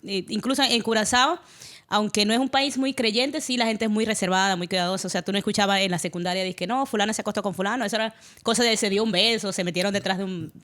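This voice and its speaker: A woman's voice: mid-range voice, fairly even intonation, quick pace, fairly bright tone. A woman with a Dominican Spanish accent, talking casually as a panelist in a group podcast chat. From Dominican Republic.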